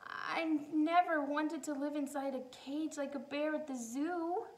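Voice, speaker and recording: Woman voicing an excitable, high-pitched little toy bear. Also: a little slow. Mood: uneasy. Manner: wondering, eager exclamations. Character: small, excitable, innocent male toy bear.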